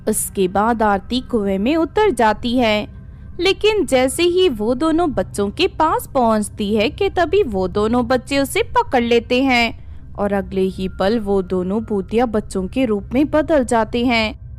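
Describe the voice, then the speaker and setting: High-voiced woman narrating a story. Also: animated, unhurried, a little mellow, faint music behind.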